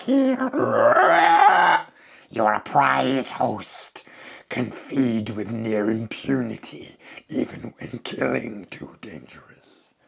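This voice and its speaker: A sinister alien parasite speaks through a corpse. The voice is an extremely husky, whispering croak full of gravel. It moves slowly in clipped, halting phrases, gloating coldly over each word. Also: male voice, on the low side, heavily acted. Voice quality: hoarse raspy croaking whisper. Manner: halting, clipped telegraphic speech, savouring words.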